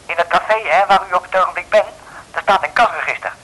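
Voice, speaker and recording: An actor playing a nervous gangster informing in secret over the telephone, hesitant and urgent, in an old radio play. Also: male voice, high-pitched, quick pace, bright, forceful tone, poor audio. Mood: nervous, secretive. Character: nervous male gang boss turned secret informant. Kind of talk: 1960s Dutch radio play acting.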